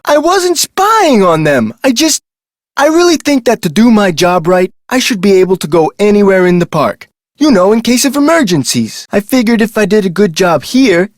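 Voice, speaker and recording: A man's voice: high voice, no echo, studio quality. An actor playing an earnest, defensive ex-con security guard in a video game, a man talking in a colloquial way.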